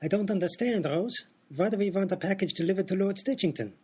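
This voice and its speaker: A man's voice: sits high, quick pace, dark tone, slightly rough. A man playing a naive, questioning, cheeky sidekick in a radio comedy. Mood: puzzled. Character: naive, questioning high-voiced sidekick named Pumpernickel.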